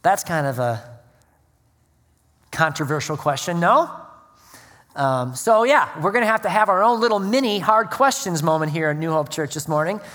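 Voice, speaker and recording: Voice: mid-range voice, lively intonation, normal speed, long pauses, fairly bright tone, big-room sound. A pastor preaching a sermon in a church, praying and reading scripture earnestly. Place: church sanctuary.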